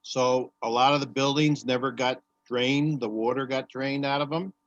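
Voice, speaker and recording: A man's voice: medium pitch, steady tone, normal speed, dry recording. Man talking over dull, dry call audio. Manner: rambling, with restarts and fillers. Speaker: member organizing volunteer help. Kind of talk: casual group chat on a call.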